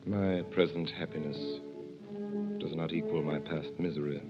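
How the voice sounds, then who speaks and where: Wronged count, 1930s radio drama: very deep, grave, formal, quietly vengeful, on a dull old recording. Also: male voice, fairly even intonation, moderate pace. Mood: guarded sorrow. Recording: muffled old radio broadcast, music behind.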